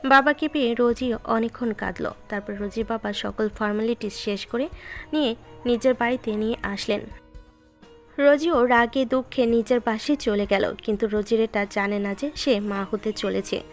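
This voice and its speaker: A woman's voice: on the high side, normal speed. A woman reading scripted narration of a romantic story, with music behind her voice.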